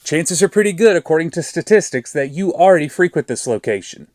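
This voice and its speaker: A man's voice: on the high side, animated, brisk, a little mellow, no echo, clean studio sound. A man from Arkansas with a Southern accent, presenting a scripted update on charging networks in an upbeat, data-heavy way. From Arkansas, USA. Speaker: guest presenter.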